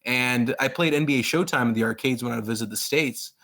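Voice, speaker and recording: A man raised in Pakistan, in his thirties, chatting as a guest author in an interview. He rambles with plain enthusiasm, full of "you know" and false starts. His voice sits at an ordinary middle pitch for a man. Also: fast talker, creaky, a bit bright. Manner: rambling, enthusiastic, many fillers and restarts. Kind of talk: casual interview chat.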